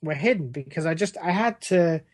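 A man telling comic stories casually on a podcast, in a halting, self-mocking, sweary way. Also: a little high, animated, dry recording, clean studio sound. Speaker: podcast co-host.

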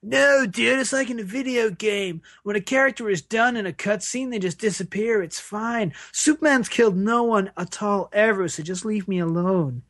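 A man's voice: high-pitched, normal speed, hardly any pauses, fairly bright tone, dry recording, studio quality, pitched above the performer's own voice. A man voicing a defensive, dismissive dude in a comic audio sketch.

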